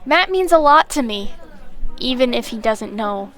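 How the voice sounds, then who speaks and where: Woman voicing an earnest, high-pitched teen girl. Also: animated, a little slow, fairly bright tone, a faint crowd. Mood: troubled, defensive. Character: earnest, troubled teenage schoolgirl heroine. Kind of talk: fan-dub comic voice acting.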